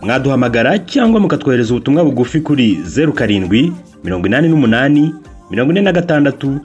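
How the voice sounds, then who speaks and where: A man's voice: mid-range voice, very animated, very fast, a little mellow, highs cut off, faint music behind. A man with a Rwandan accent narrating and announcing a radio drama in a scripted style.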